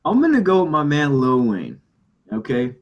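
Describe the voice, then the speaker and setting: A man's voice: on the high side, wildly swooping pitch, moderate pace, highs cut off. A man co-hosting a podcast in casual, colloquial banter, often repeating phrases for emphasis. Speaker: podcast co-host.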